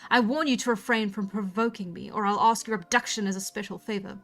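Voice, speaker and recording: A woman's voice: medium pitch, steady tone, fast, lightly acted. A woman voicing a scornful young witchy wife in a storybook, haughty, mocking and threatening. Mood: mock menace. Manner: haughty, mock-malignant.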